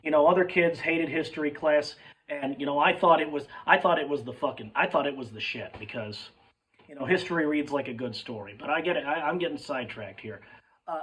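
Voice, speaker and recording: A hoarse young man whose voice cracks now and then, talking in a slightly high register. He rambles and loses his thread, swearing casually and calling his listeners folks. He sits a little back from the mic. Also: fairly quick, fairly bright tone, distant mic, rough recording. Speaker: sports fan vlogger.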